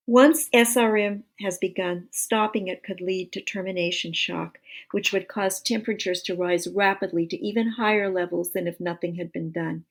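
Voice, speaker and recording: A woman reading scripted non-fiction narration for an audiobook in a measured, explanatory way. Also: on the low side.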